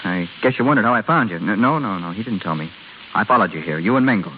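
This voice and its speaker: A young man played by an actor in a vintage radio drama, an awkward, lovesick husband who pleads and blurts stubbornly. His pitch swings widely and he talks fast. The recording is narrow and phone-like with faint hiss. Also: expressive, natural voice. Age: young adult. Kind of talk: 1930s-40s American radio drama acting. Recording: scratchy, hissy old radio broadcast, phone-like.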